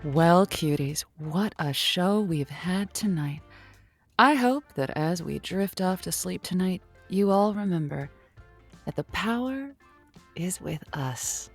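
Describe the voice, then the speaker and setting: A woman's voice: on the low side, animated, slow pace, faint music behind, exaggerated voice. A woman playing a giddy, lovelorn late-night radio host in an audio drama, flustered and theatrical in manner. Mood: tender, signing off.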